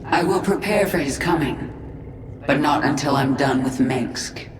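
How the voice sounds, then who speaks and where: An actress playing a vengeful, commanding half-human queen of an alien swarm in a video game cutscene, speaking gravely and deliberately. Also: low voice, a crowd in the background. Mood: cold resolve. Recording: roomy, distant, under loud music.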